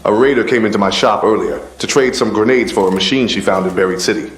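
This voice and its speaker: A man's voice: fairly low pitch, fast talker, reverberant, natural voice. A man playing an easygoing, charming trader in a video game, casual and wry as he hands out errands. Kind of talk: scripted video game dialogue.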